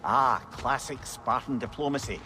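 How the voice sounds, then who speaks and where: A man's voice: medium pitch, moderate pace, background noise, lightly acted. A man voicing a wise, chatty companion in a video game, wry and eloquent, with a Scottish accent. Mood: wry advising. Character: witty, chatty, wise severed-head companion, male. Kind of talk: video game cutscene acting.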